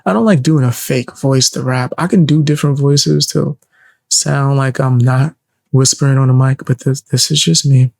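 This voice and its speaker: Soft low-voiced man streaming. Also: normal speed, creaky, dry recording, studio quality. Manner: relaxed, joking, slangy. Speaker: streamer host. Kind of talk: casual reaction stream chat. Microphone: desk mic close up.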